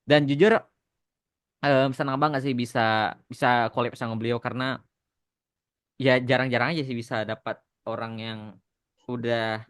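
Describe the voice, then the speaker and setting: Guy chatting casually on a podcast, drops english bits here and there, mid pitched. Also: steady tone, moderate pace, pauses often, a bit bright, smooth. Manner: mixes English phrases into his talk. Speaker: podcast host and animation creator answering fan questions.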